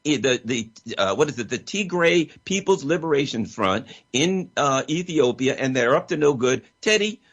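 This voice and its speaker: Lively impassioned male host. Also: mid-range voice, normal speed, hardly any pauses. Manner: impassioned, emphatic. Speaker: talk show host. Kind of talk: political talk show. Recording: muffled lo-fi audio.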